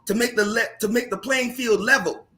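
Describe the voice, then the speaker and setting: A man who is a teacher speaks in a panel discussion with a loud voice, passionate and emphatic. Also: very high voice, steady tone, moderate pace. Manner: impassioned, rising to emphatic questions.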